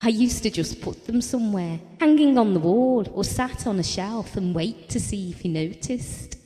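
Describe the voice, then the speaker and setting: Actress as a wry, confiding wife, lively intonation. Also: female voice, medium pitch, moderate pace, long reverb tail, close to an everyday voice. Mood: wry, self-deprecating. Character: candid, self-deprecating, bereaved wife reminiscing about her marriage. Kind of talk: intimate audio drama monologue.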